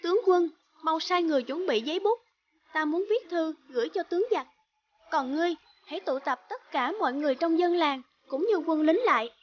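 Cartoon princess, super high voice, brave n bossy, bit muffled. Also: female voice, lively intonation, a little fast, a little hiss or hum. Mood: commanding. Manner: earnest, formal. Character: brave, dutiful young princess, resolute and commanding. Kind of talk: cartoon folk tale dialogue.

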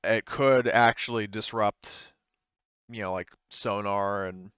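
Guy running a tabletop game, kinda halting explanations, lowish voice, slightly muffled dry mic. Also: steady tone, a bit bright, clear, resonant tone, no echo. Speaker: game master. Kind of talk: improvised tabletop game narration.

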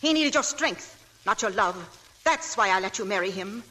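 A woman's voice: medium pitch, animated, moderate pace, bright, forceful tone, low-quality recording. An actress playing a stern, possessive older mother in a 1940s radio drama, speaking with intense, accusing force.

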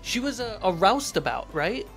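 A woman's voice: mid-range voice, very animated, normal speed, soft background music. A woman hosting a casual, conversational interview about tabletop gaming. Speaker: host interviewing a player.

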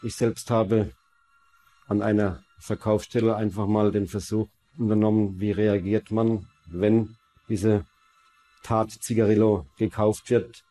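A man speaks as an investigator in a documentary interview. His voice is fairly low and very even, and he feels his way through sentences, often rephrasing them. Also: unhurried, faint music behind.

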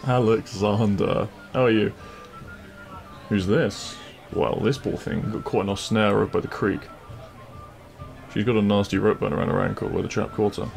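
Man acting a gravelly, flirtatious bandit prince. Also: on the low side, fairly even intonation, normal speed, darkish tone, soft background music. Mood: reassuring.

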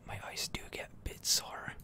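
A gamer whispering ASMR commentary over gameplay, close to the microphone, musing in an unhurried, hesitant way. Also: pushed and bright.

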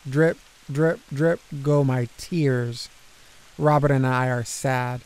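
A man narrating a children's picture book aloud, with deliberate, expressive phrasing for young listeners. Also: fairly high pitch, clear, resonant tone. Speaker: storybook narrator.

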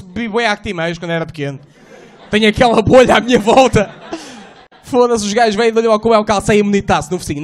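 A man doing a stand-up set in a small club, slangy and animated, acting out voices and sounds. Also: high voice. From Portugal. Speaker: stand-up comedian. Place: small club stage, indoors. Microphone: handheld mic.

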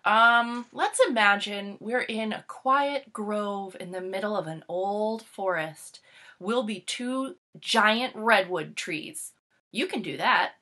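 A woman playing an excitable, bossy young boy full of schemes, reading a picture book with emphatic, dramatic delivery. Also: medium pitch, takes it slowly. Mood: coaxing, bossy.

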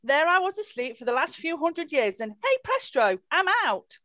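A woman's voice: sits high, sing-song, moderate pace, sharp tone, no room sound, studio quality, full character voice. A woman playing an ancient, obliging genie of the lamp with grand, servile lines in an amateur pantomime reading. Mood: indignant. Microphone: computer mic on video call.

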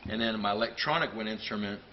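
Deep voiced guy chatting about his gear, playful, hissy muffled camera audio. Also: fast talker. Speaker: clarinetist. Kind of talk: casual explanation of his instruments. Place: large rehearsal room indoors. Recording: dull lo-fi sound with noise.

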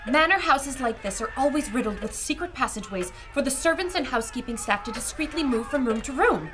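High-voiced, keen woman adventurer, acted by a woman. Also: lively intonation, fairly quick, music in the background. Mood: urgent, clever. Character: keen, sharp-witted woman adventurer reporting to listeners. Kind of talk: pulp radio adventure acting.

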